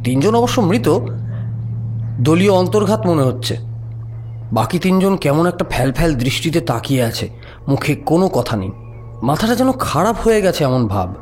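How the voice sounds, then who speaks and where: A suspicious investigator in a horror radio play. The voice is a little high and deliberately speculative, with a wry turn, set over faint music. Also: male voice, big pitch swings, darkish tone. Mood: suspicious, certain. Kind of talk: audio horror story acting.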